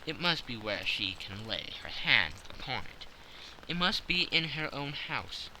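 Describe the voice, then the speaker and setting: A man narrating a classic story as an audiobook, measured and expressive. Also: mid-range voice, slight background noise, low-quality recording.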